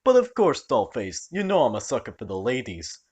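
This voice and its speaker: A man's voice: medium pitch, sing-song, normal speed, close to an everyday voice. A man voicing a crude wannabe gangster in his thirties with a put-on old-time mobster accent. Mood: smarmy.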